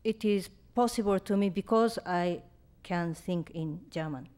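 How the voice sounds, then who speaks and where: A woman in her fifties from Japan with a Japanese accent, answering audience questions in English at a reading, halting and searching for words, on a stand mic. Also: lowish voice, warm tone. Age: 50s. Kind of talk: live Q&A at a reading. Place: lecture room on stage. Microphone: stand mic in front.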